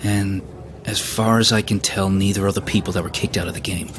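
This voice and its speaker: A man's voice: low-pitched, steady tone, fairly quick, noisy background, lightly acted. A man playing a nervy, scheming coach passenger in a naturalistic audio drama, conspiratorial and grumbling. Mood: uneasy.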